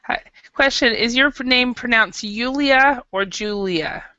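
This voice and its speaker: A woman's voice: a little high, takes it slowly, pushed and bright, dry recording, dull audio. A young American woman from Idaho teaching an online English class, chatty and friendly, with lots of ums and uhs. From Idaho, USA. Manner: chatty, friendly, with many um and uh fillers. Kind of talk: casual online language lesson. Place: small room at home. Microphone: headset mic.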